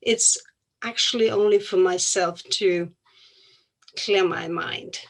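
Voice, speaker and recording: A middle-aged woman with a Danish accent, giving a personal talk in a halting, reflective way with pauses mid-phrase. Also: lowish voice, steady tone, creaky, low-quality recording. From Denmark. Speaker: occupational therapist and craniosacral therapist.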